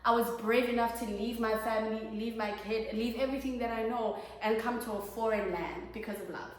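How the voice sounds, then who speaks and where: A young South African woman hosting a casual chat, expressive, laughing often and dropping in isiXhosa words. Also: on the high side, brisk, slight background noise. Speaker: host. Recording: echoey room.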